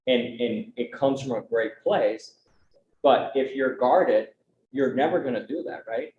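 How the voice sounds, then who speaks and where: A man's voice: mid-range voice, a little slow. A young adult man speaking earnestly on a conference panel, with a conversational style and frequent tag questions. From United States. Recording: roomy, dull, faint background noise.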